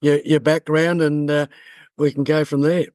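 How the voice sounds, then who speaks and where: Halting man hosting a chat. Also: a little high, little pitch movement, a little mellow, no room sound, clean studio sound. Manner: friendly, halting with many fillers. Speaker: podcast host. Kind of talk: casual interview chat.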